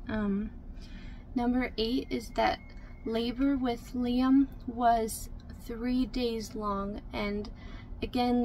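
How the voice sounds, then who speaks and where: A woman in her twenties talking casually to camera in a small camper, soft-spoken and chatty, with many ums as she reads from notes. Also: on the high side, a little hiss or hum, rough recording. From Canada. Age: 20s. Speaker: vlogger. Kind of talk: casual get-to-know-me talk to camera. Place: small room inside a camper. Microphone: camera in front at close range.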